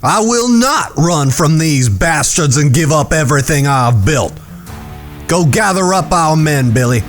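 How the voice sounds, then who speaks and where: An actor playing a proud, calculating town boss in a western audio drama, speaking in a measured, formal, commanding way. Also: male voice, on the high side, animated, soft background music. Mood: defiant, commanding.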